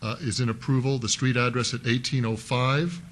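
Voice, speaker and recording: Middle-pitched guy councilman, folksy, takes his time asking questions, desk mic. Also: fairly even intonation, normal speed, a bit bright. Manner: folksy, methodical, thinks aloud with fillers. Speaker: city councilman. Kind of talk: questioning at a public hearing. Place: council chambers.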